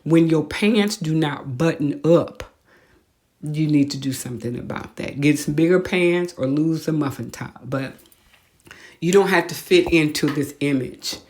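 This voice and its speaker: Low-voiced American woman talking bluntly. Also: a little slow. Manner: animated, opinionated, blunt slangy talk. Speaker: podcast host. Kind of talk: casual conversational monologue.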